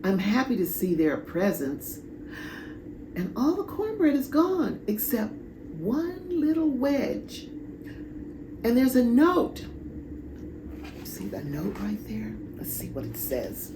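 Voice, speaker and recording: A woman reading a children's story aloud in a dramatic, deliberate way with pauses. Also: on the high side, wildly swooping pitch. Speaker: storybook reader. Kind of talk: expressive children's read-aloud. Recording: echoey room, clear background noise.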